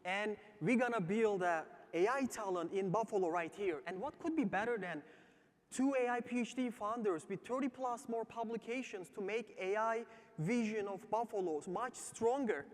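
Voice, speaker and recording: A man from Turkey with a Turkish accent, delivering an emphatic startup pitch on a theatre stage. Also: normal speed. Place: large theatre stage before live audience. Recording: long reverberant theatre hall.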